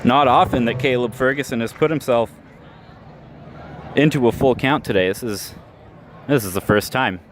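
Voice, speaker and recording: A male baseball announcer calls the game pitch by pitch in a steady, medium-pitched voice. His delivery stays measured and jumps up when a big play happens. The mic was at an outdoor ballpark, with faint background noise. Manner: measured, rises sharply on big plays.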